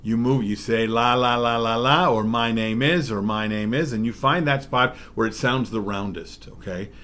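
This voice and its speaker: Man with a big baritone voice. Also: normal speed, a bit bright. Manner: emphatic, frequent "okay?" check-ins. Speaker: DJ trainer. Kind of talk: spoken presentation.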